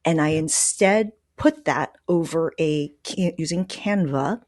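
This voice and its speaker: A woman's voice: deep voice, slow pace, a little mellow, no room sound, studio quality. A woman hosting a podcast in casual conversation, making her points emphatically and repeating key ones. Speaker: podcast host.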